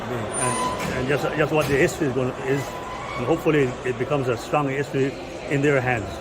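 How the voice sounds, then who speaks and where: A man's voice: medium pitch, fairly even intonation, brisk, heavy background noise. An older man from Panama, a retired canal manager, speaking plainly and in a friendly way.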